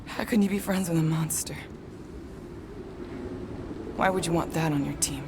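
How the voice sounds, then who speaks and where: A woman's voice: low-pitched, normal speed, background noise. A woman playing a deadly, guarded alien assassin in a video game, intense and bitter, with a terse, dryly sarcastic delivery. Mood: grave, bitter. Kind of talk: dramatic video game acting. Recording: music behind the voice.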